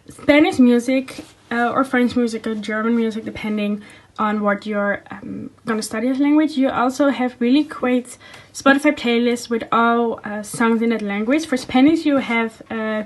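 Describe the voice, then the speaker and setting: A young woman, a Dutch native speaker, casually sharing study tips in a home vlog with lots of fillers. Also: on the high side. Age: young adult. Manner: chatty, many fillers. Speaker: student vlogger. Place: small room at home. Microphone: phone camera.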